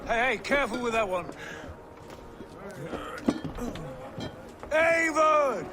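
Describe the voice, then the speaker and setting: An actor playing a hearty, confident Viking prince home from voyaging in a video game, speaking grandly. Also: male voice, very high-pitched, expressive, moderate pace, heavy background noise. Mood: exuberant. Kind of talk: dramatic video game acting.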